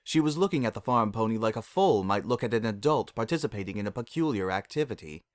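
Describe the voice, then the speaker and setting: Guy reading a story out loud dramatically, kinda low voice, dry sound no room. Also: animated, fast talker, dry recording. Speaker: narrator.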